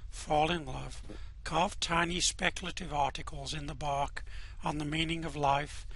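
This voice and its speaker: A man's voice: fairly high pitch, steady tone, measured pace, sharp tone, a little hiss or hum. A man narrating in a dry, wry manner as part of an amateur radio-play reading.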